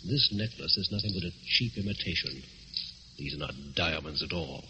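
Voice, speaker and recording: An actor playing a suave, unflappable gentleman burglar with an urbane, wry manner in a radio drama. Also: low-pitched, animated, normal speed. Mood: calm, focused. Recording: muffled, slightly hissy old radio recording.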